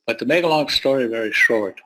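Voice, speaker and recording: A man's voice: mid-range voice, a little fast, fairly bright tone, slight background noise, rough recording. Older man telling his life story. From born in Poland, grew up in the United States. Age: 70s or older. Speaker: guest, retired surgeon and medical advisor. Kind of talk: autobiographical storytelling in an interview.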